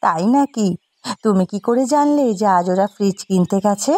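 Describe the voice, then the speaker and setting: A woman voicing a brisk, expressive village housewife in a folk-tale cartoon. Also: mid-range voice, no echo, close to an everyday voice. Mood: caring. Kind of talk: Bengali cartoon dialogue dubbing.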